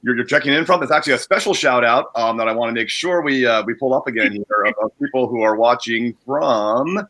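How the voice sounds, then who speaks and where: Fast-talking male co-host, bright voice. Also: medium pitch. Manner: rambling, self-correcting. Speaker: producer, co-host. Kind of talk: casual livestream talk.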